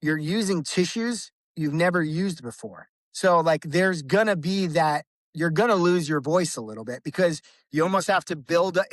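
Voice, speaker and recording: A man's voice: a little high, lively intonation, normal speed, few pauses, no room sound. A man talking casually as a guest about his band's vocals, rambling with many restarts and fillers. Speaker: podcast guest, band vocalist. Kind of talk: casual conversation.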